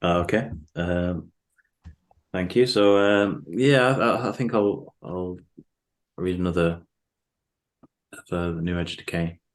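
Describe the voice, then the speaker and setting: A man's voice: sits low, takes it slowly, pauses often. A man speaking as a guest poet, reading verse and chatting casually about it. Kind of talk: poetry reading and casual chat.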